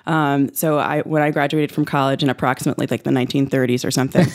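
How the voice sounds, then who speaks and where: A woman's voice: rumbling low, fairly even intonation, fast. A woman with an American accent giving casual, wry, self-deprecating answers as a guest in a radio studio. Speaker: interview guest, writer and nonprofit consultant. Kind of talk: casual conversational interview answers.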